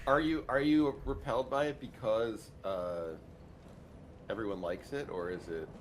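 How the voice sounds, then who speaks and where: A man's voice: lowish voice, steady tone, unhurried, a little hiss or hum. A man co-hosting a gaming show in casual, conversational chat. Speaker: co-host.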